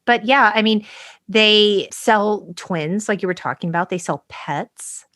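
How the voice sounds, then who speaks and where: A woman with a mid-pitched voice, recorded very dry with no room sound. She presents as a podcast co-host, moving between prepared notes and casual talk in a wry, playful way. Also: a little slow, fairly bright tone, dry recording. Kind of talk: conversational podcast talk with read-out notes and quotes.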